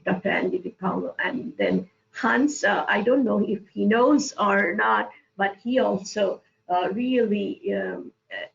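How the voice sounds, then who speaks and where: A woman moderating an online panel, speaking haltingly with many fillers. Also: medium pitch, vocal fry. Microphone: video call. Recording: muffled video call audio.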